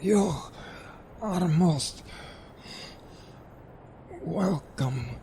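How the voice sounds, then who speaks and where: An actor playing a dying, gentle old man with a raspy voice and halting, solemn delivery in an audio drama. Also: a little high, animated, a little hiss or hum, full character voice. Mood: gentle, earnest. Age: older. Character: dying gentle old man who loves his pets.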